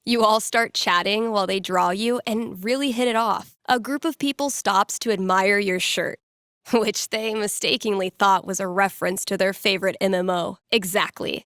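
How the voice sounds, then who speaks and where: A woman's voice: medium pitch, normal speed, a bit bright, no room sound, lightly acted. A woman voicing an upbeat young radio DJ in a video game, conversational and teasing, with dry humour as she talks to callers. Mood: playful storytelling. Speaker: radio DJ taking calls and telling fortunes. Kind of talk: playful radio host patter, storytelling to callers.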